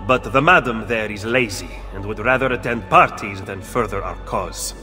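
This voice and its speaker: An earnest young Roman rebel. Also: male voice, fairly low pitch, normal speed, sharp tone, faint music behind. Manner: earnest, urgent. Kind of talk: video game cutscene dialogue.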